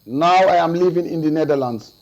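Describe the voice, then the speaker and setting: A man in his forties with a Gambian accent, giving deliberate, formal answers as a witness over a video call from a room. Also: a little high, darkish tone. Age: 40s. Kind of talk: testimony over video link. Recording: muffled video call audio with mains hum.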